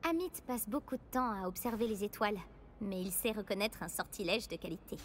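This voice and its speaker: A woman's voice: mid-range voice, brisk, slight background noise, lightly acted. An actress voicing an earnest, bookish young schoolgirl witch in a video game, speaking politely and explaining her troubles. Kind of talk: video game character dialogue.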